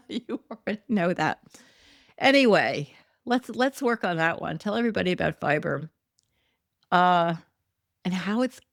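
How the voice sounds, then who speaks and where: A woman's voice: medium pitch, no room sound, studio quality. A woman hosting a solo podcast, talking through news in a chatty, wry way with many ums and self-corrections, her voice a bit worn. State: losing her voice. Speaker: podcast host. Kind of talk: casual solo commentary podcast.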